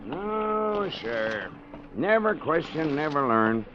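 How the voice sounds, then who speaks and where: A man's voice: a little high, sing-song, slow pace, background noise. An actor playing a garrulous, nosy old seafaring man with folksy, nautical talk in a 1940s radio drama. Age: older. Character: garrulous, nosy old seafaring waterfront boatman, expatriate American. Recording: dull vintage radio recording, music behind.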